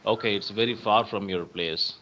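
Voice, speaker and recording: Male host, Tamil accent, encouraging, clear, bright lower voice, muffled call. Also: moderate pace, a little hiss or hum. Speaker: host, English conversation partner. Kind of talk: casual encouraging chat.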